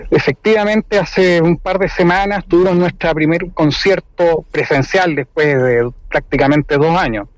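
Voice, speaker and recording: A man's voice: fairly high pitch, moderate pace, few pauses, dry recording. A man speaking conversationally as a guest in a radio interview over the phone. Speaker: musician and ensemble director, interview guest. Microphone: telephone. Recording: dull phone-in line, dry.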